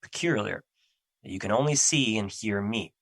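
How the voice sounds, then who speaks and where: A man's voice: on the low side, normal speed, creaky, a bit bright, dry recording. A man playing a stern, earnest embodiment of an emotion in an audio drama, speaking formally and easily irritated. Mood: earnest, insistent. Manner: formal, serious, stiff phrasing.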